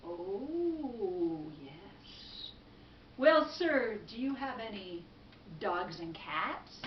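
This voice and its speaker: A woman voicing a calm, self-assured wise old woman in a folktale, musing and then giving firm instructions. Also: medium pitch, voice pushed down. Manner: hesitant oohs, then firm slow instructions. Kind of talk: oral folktale storytelling. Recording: distant mic, echoey room, dull, faint noise.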